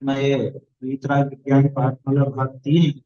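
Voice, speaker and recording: A man's voice: medium pitch, completely flat delivery, normal speed, mellow, lo-fi sound. A man teaching a religious studies lesson to a class of children, explaining points and asking the class questions.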